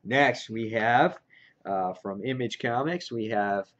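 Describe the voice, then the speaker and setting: A muffled, lo-fi recording of a man with a mid-pitched voice. He talks off the cuff as an enthusiastic fan, addressing his viewers directly in a casual review. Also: slow pace, fairly bright tone. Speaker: comic book reviewer, channel host. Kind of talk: casual unscripted haul review to camera. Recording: dull, lo-fi home recording.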